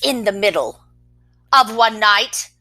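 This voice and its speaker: Slow, animated woman reading to children. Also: mid-range voice. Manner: expressive, with soft whispered asides. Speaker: children's story reader. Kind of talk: reading a picture book aloud.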